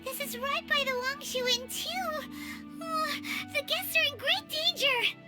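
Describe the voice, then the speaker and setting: A woman voicing a small, excitable, childlike fairy companion in a video game, chirpy and earnest. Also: very high-pitched, unhurried. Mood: concerned. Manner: refers to self in third person, chirpy. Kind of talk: video game character dialogue. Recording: music behind the voice.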